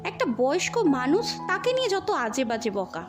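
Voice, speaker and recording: A woman voicing an affectionate, girlish young newlywed wife, quick to huff, in an audio story. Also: sits high, big pitch swings, music in the background. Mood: huffy.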